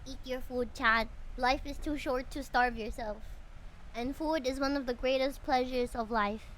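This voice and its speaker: A woman's voice: high-pitched, steady tone, a little slow, a bit bright, slight background noise, poor audio. A bubbly, friendly woman, chatting casually with viewers as she streams a game. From Philippines. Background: music.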